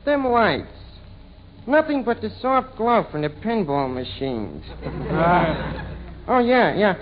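A man as high, swooping New barkeep. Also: big pitch swings, takes it slowly, heavily acted. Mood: chummy, boastful. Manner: hesitant, folksy, mangling his words. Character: bumbling, wisecracking lowbrow New York tavern manager on the phone. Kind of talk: 1940s radio comedy impression. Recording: dull, hissy vintage radio recording.

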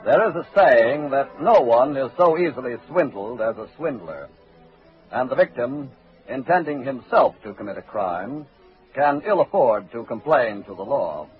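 Dramatic narrator on tinny old radio. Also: male voice, medium pitch, animated, unhurried, soft background music. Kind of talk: 1940s dramatic scripted narration. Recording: tinny 1940s radio recording.

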